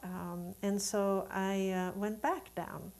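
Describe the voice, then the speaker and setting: A middle-aged or older woman from Sweden, a native Swedish speaker, telling her story as an interview guest in a measured, deliberate, reflective way. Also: medium pitch, level tone, creaky, darkish tone. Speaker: guest, Unitarian Universalist minister.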